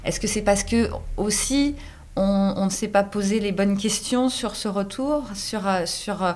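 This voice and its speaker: A woman's voice: medium pitch, measured pace, a little mellow. A woman hosting a radio interview, asking probing questions and narrating a short report. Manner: engaged, asks probing questions. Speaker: radio host.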